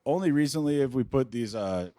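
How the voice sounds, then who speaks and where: A man's voice: medium pitch, animated, brisk, mellow. A man hosting a casual podcast, bantering in a blunt, teasing, profane way. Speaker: podcast host.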